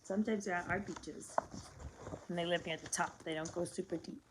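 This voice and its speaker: A woman's voice: on the low side, fairly even intonation, fairly quick, noisy background. A woman reading a picture book aloud as a lesson for young children, explaining simply, asking questions and repeating words.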